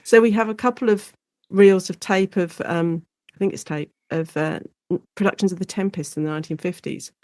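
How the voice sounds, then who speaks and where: A woman with an English accent explaining things as an expert guest in a conversational interview, in an animated, informal way. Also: lowish voice, brisk, no room sound.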